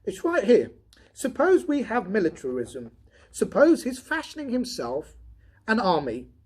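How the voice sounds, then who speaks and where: A man reading an audiobook, voicing an excitable, weary, speculative robot technician with an emphatic, agitated delivery. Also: high voice, measured pace, husky, voice pushed up, full character voice. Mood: eager theorizing. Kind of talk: audiobook character dialogue.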